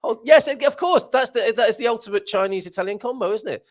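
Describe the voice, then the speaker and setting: A man's voice: extremely high pitch, fast. A man hosting a live chat show, joking around, laughing often and reading out viewer comments. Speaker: host.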